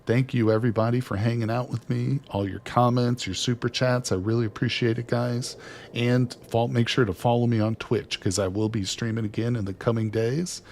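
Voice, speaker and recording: A man streaming a video game, chatting excitedly to his viewers as he plays, losing his voice near the end of a long session. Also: medium pitch, normal speed, slight background noise. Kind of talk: casual gameplay commentary.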